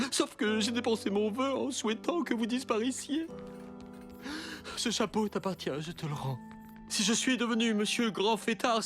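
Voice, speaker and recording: Cartoon bad guy magician, high swoopy voice, showy and childish, music behind. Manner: theatrical, exaggerated. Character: theatrical male villain posing as party magician, childish and self-pitying. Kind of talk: dubbed children's cartoon acting.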